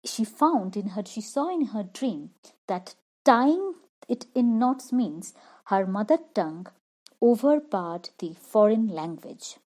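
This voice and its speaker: A woman's voice: medium pitch, lively intonation, slow, mellow. A woman explaining a poem line by line as a literature teacher, repeating and rephrasing each point.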